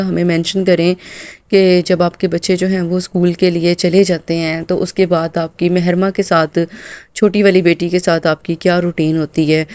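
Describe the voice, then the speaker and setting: A hoarse woman from Pakistan in her thirties, talking through her morning as a mom vlogger. Her voice sits low and mellow, and she speaks in a loose, chatty way padded with filler phrases. The recording is close and dry. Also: no room sound. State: hoarse from a sore throat. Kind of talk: casual voice-over narration of a daily vlog.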